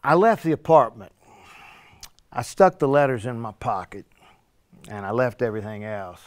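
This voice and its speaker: A man performs a streetwise, remorseful burglar in a one-man stage play. He tells his story conversationally in a mid-pitched voice, tripping over and repeating words as he goes. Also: normal speed, lightly acted. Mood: sly, conspiratorial.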